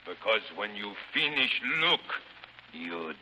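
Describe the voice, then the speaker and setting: Wildly swooping pirate captain. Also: male voice, lowish voice, very animated, slow, bright, forceful tone, exaggerated voice. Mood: gloating. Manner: mocking, boastful, broken-English drawl. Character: gloating, menacing foreign pirate captain, broken English. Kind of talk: 1930s radio acting. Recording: muffled, hissy 1930s radio recording.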